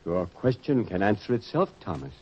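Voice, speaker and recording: An actor playing a stern, commanding disciple in a biblical radio drama, speaking with declamatory authority. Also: male voice, lowish voice, big pitch swings, moderate pace, slightly rough. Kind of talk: old-time religious radio drama acting. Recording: dull old radio recording.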